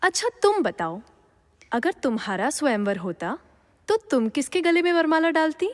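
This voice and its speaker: A coy young princess in a mythological audio drama. Her voice is high and lively in pitch, musing theatrically, with long reverb trailing behind. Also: moderate pace.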